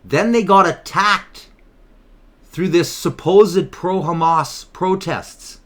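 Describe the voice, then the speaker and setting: A man's voice: high-pitched, slow pace, a bit bright. A man commenting over protest footage in an emphatic, indignant way. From Canada.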